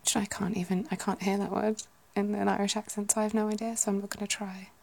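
A woman's voice: medium pitch, little pitch movement, quick pace, vocal fry. A woman in her thirties with a British English accent, whispering casual ASMR chat and musing to herself. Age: 30s. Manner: whispering, musing, self-correcting.

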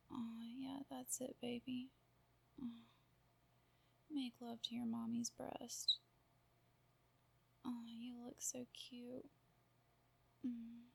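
Woman, fairly high and very even pitch, unhurried, soft cooing whisper for intimate roleplay. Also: creaky, breathy edge. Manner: soft cooing, half-whispered, drawn-out murmurs. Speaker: roleplay performer.

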